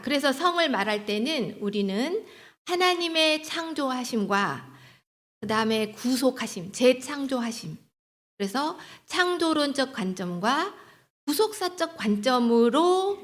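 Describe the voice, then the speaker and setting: A woman's voice: high voice, a bit bright. A woman lecturing at a church training, teaching and exhorting, posing questions to her listeners and answering them herself, often checking for agreement. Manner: asks the audience rhetorical questions, then answers them herself; seeks agreement with short tag questions. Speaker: lecturer at a church sex-values training for leaders.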